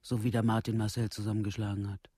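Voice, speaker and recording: An actor playing a worried, worn-down village parent in a radio play, in plain, halting colloquial speech. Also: male voice, fairly low pitch, level tone, dark tone, slightly rough, no room sound. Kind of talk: documentary radio play monologue.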